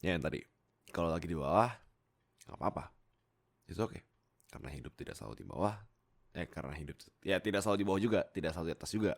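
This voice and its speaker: A young man with a low, gravelly voice rambles casually into a close microphone. His delivery is playful and hesitant, swinging widely up and down as he breaks off into jokey asides. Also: expressive, quick pace, long pauses. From Indonesia. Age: young adult. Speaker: YouTube content creator and voice actor. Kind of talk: casual rambling chat to viewers. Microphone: close desktop microphone.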